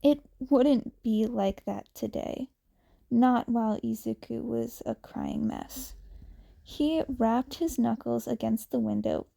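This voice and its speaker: A woman's voice: on the high side, slow, creaky, mellow. A woman narrating a fan fiction story as an audiobook reader. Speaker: podfic narrator.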